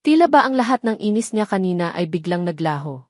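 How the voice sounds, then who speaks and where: A woman's voice: lowish voice, sing-song, fairly quick, darkish tone, no echo, clean studio sound. A woman narrating a scripted romance story as a storyteller.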